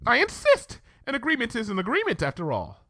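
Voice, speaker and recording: A man's voice: very high-pitched, huge pitch swings, fairly quick, pushed and bright, no room sound, studio quality, voice pushed up, full character voice. A man voicing a refined, dramatic female cartoon unicorn in a story reading, with a theatrical, affected and formal manner. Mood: flustered, insistent.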